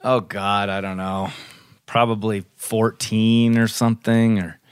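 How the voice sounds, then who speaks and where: A man's voice: lowish voice, little pitch movement, unhurried, clear, resonant tone, no room sound, clean studio sound. A man co-hosting a podcast in casual chat, teasing and playful, laughing often. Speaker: podcast co-host.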